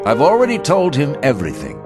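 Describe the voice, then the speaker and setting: A man's voice: a little high, huge pitch swings, fairly quick. A man playing a no-nonsense mentor at a camp in a fantasy video game, giving orders and advice. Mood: advising. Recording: music behind the voice.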